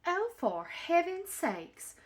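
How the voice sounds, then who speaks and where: A woman playing a gushing, folksy bank teller who talks loudly with a drawl. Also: mid-range voice, a little slow, lightly acted. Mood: apologetic.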